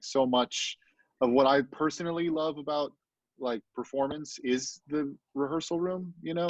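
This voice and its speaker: A man's voice: mid-range voice, no echo. A man reflecting casually and a little hesitantly as a theatre panelist on a video call. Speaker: theatre actor and deviser, panelist. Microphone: video call, close dry sound. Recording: slightly dull, dry video-call audio.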